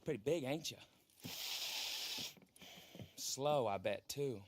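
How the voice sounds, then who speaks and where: A man's voice: medium pitch, takes it slowly, a little mellow. An actor playing a brash, boastful fifteen-year-old boy gang boss in a video game. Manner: brash, bragging, bossy. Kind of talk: video game character acting.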